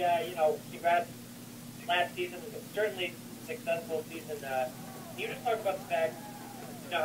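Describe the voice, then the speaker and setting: Higher voiced sports reporter guy rambling out a question, far away on a noisy humming phone line. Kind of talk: informal press question.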